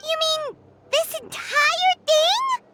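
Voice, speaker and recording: A woman voicing a tiny, excitable fairy-like companion girl in a video game, squeaky, childlike and exclamatory. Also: a little slow, over music, exaggerated voice. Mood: surprised. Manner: exclamatory, refers to herself in third person.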